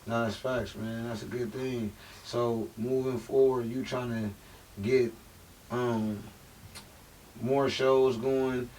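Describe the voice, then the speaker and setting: A man's voice: medium pitch, fairly even intonation, takes it slowly, darkish tone. Encouraging male podcast host, distant mic. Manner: encouraging, with lots of agreeing interjections. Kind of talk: casual podcast chat. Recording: distant mic with faint hiss.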